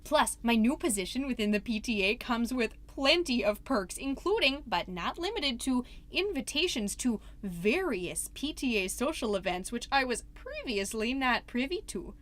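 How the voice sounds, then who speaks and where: A woman's voice: a little high, sing-song, a bit bright. A woman playing a folksy, rambling suburban PTA mom giving an over-polite, theatrical comedy monologue as a podcast host. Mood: chatty, polite. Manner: chatty, over-polite, self-interrupting, theatrically drawn-out phrases.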